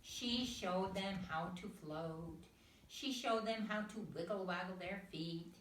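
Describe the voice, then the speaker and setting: An older woman, a grandmother, reading a children's story aloud in an expressive, deliberate manner. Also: medium pitch. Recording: roomy, distant mic, faint noise.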